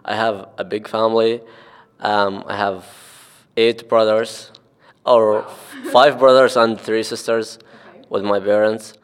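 A young man from Oman, a student answering interview questions haltingly, with many restarts and repeated words. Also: lowish voice, little pitch movement, clear, resonant tone. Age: young adult.

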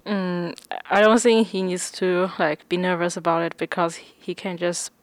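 A woman's voice: lowish voice, flat delivery, a little slow, dry recording. A young woman whose first language is Chinese, answering interview questions in careful, hesitant English.

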